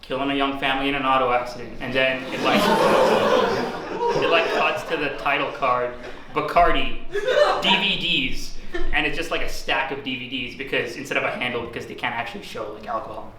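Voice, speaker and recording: A young man from Singapore doing an open mic stand-up set with deadpan, dark jokes. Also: medium pitch, moderate pace. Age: young adult. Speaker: student stand-up comedian. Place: student forum room. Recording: echoey room from far off, audience noise.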